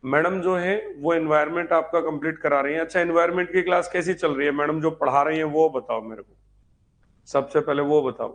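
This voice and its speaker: A man's voice: on the high side, fairly even intonation, a little fast, a bit bright. Man teaching a live class. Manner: repeats points and quizzes listeners with questions. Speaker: teacher of an online history exam-prep class. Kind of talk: live lecture with chatty asides to students.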